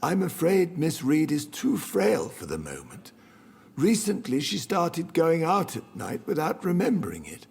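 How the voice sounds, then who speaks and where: An actor playing an elderly, devoted, sorrowful British butler in a video game, formal and deferential with gentle reproach. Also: male voice, a little high, wildly swooping pitch, measured pace, creaky, husky, full character voice. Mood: worried, solemn. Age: older. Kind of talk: video game dramatic dialogue.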